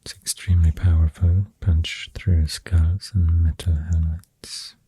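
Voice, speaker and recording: Breathy, whispery Swedish-accented ASMR voice, very low. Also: level tone. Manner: hushed, unhurried, gentle rambling with pauses. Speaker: ASMR host. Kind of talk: soft-spoken ASMR, rambling facts while drawing. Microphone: close microphone. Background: pencil writing and clicking sounds.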